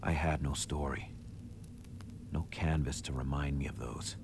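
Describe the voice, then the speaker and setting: Horror game lead: haunted amnesiac noir man, bottomless low voice, unhurried and brooding, noise behind. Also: steady tone. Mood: bleak, lonely. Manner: slow, grave, brooding delivery with long breaks. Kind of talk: scripted noir game narration.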